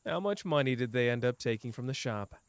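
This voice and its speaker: A man's voice: medium pitch, a little fast, clean tone, no room sound, close to an everyday voice. A man playing a teasing young detective. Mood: calm questioning. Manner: playful, teasing, jumps between soft and excited. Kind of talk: ASMR roleplay.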